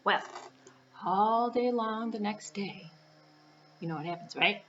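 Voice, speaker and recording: A woman's voice: medium pitch, slow, a bit bright, dull audio, soft background music. A woman storyteller narrating a children's puppet story in an informal, conversational way with asides to her listeners.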